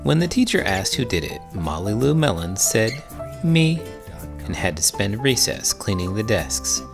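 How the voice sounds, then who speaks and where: Animated man reading a children's story. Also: on the low side, normal speed, gravelly, over music. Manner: animated, theatrical, playful. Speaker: read-aloud host, teacher and writer.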